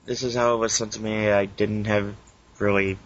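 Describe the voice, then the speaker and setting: A man's voice: fairly low pitch, level tone, moderate pace. A man co-hosting a podcast, chatting casually with the others in the group. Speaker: podcast co-host. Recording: muffled lo-fi internet podcast audio.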